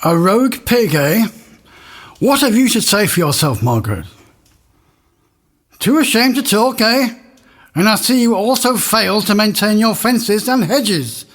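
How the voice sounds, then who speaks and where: An actor playing a pompous, stern and obtuse judge of a village manor court in a comic audio drama. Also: male voice, high voice, sing-song, unhurried, long pauses, fairly bright tone, heavily acted. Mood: indignant, pompous.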